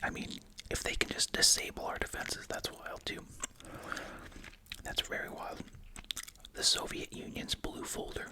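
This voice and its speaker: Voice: measured pace, long pauses. An ASMR creator whispering breathily while chewing gum, reading out summaries and adding casual, musing asides. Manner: casual, musing, repeats short phrases.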